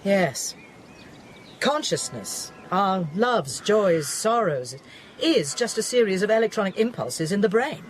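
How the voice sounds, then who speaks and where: A woman playing a rational, patient scientist in an audio drama, explaining things in a measured, thoughtful way. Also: medium pitch, big pitch swings, slight background noise.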